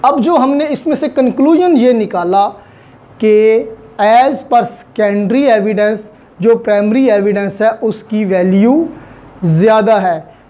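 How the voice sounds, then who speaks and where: A woman's voice: a little high, takes it slowly, dark tone. A woman with a Pakistani accent giving an explanatory law lecture in a didactic way, mixing in English technical terms. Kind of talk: explanatory online lecture with everyday examples.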